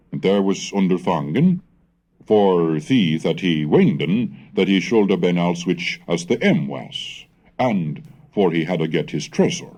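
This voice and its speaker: A man with a very deep, dark and relaxed voice narrates a scholarly history lesson. He reads from a script in a formal, measured way. His delivery suits both lecturing and the recitation of old texts.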